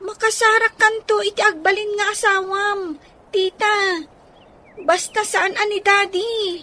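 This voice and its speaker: A woman playing an earnest young child in a radio drama, pleading in a drawn-out way. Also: extremely high pitch, steady tone, bright, forceful tone, heavily acted. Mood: insistent pleading.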